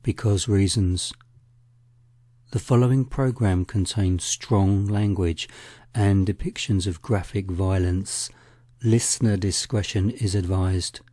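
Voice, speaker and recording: A man presenting a horror audio drama as narrator, in an ominous, deliberate, theatrical style. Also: low voice, steady tone, dark tone, no room sound.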